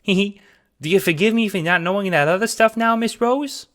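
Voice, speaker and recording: A man voicing a giddy, childlike cat spirit sidekick with a playful, excitable manner in an audio drama. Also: high voice, brisk, pitched above the performer's own voice. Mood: eager, sweet. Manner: playful, excitable, hesitant explaining.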